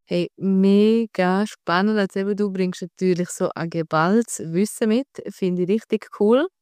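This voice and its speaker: Woman, Swiss accent, podcast host, clear smooth voice, dry close sound, informal and enthusiastic. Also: medium pitch, fairly even intonation, unhurried, a little mellow, dry recording. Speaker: podcast host and women's health coach. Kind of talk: conversational podcast interview.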